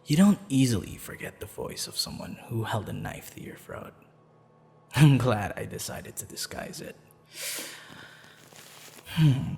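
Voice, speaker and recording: Man as a hushed, slow, menacing lovestruck assassin. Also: medium pitch, gravelly, long reverb tail. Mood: smug, amused. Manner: hushed, intimate, deliberate, often whispering. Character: lovestruck, menacing, smugly teasing male assassin. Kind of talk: ASMR audio roleplay.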